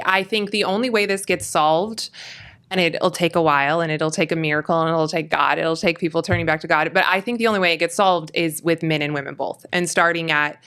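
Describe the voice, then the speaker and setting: A woman from California speaking as an interview guest, earnest and measured, often restarting her sentences. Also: low voice, fairly bright tone, clean tone. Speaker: interview guest, political commentator. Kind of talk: conversational interview.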